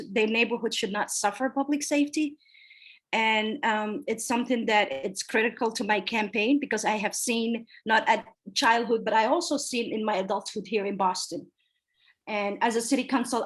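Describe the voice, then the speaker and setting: A woman in her forties from Somalia, a city council candidate answering interview questions earnestly over a video call. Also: a little high. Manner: earnest, emphatic, repeats key phrases.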